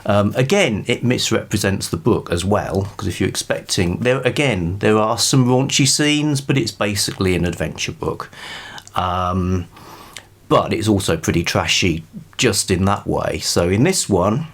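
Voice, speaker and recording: A man's voice: on the low side, animated, unhurried. A man reviewing books casually, chatting in a rambling way with wry understatement. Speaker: book reviewer. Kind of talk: casual book review to camera.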